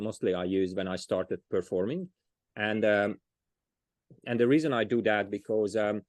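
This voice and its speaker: A man's voice: fairly low pitch, warm tone, no echo. A man with a Hungarian accent, a storyteller being interviewed, talking casually and often restarting as he searches for words. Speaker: storyteller, guest.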